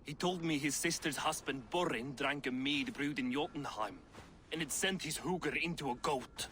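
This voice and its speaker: An actor playing a shrewd, gruff, middle-aged dwarf craftsman, blunt and boastful, in a video game. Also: a little high, moderate pace, a bit bright, a little hiss or hum, natural voice. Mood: wry. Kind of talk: video game cinematic acting.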